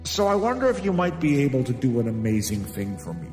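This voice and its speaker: A man's voice: mid-range voice, sing-song, quick pace, natural voice. A man playing an earnest, smitten young mortal fisherman with a stammering, flustered manner in a children's audio drama. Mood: earnest, pleading. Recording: echoey hall reverb under loud music.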